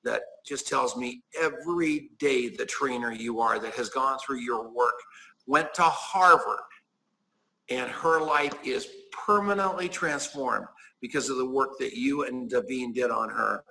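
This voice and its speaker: A man's voice: mid-range voice, measured pace, gravelly, sharp tone, low-quality recording. A man in his sixties talking as an interview guest, with an emphatic, preachy manner that repeats key phrases. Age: 60s. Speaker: guest, business owner. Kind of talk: enthusiastic conversational pitch.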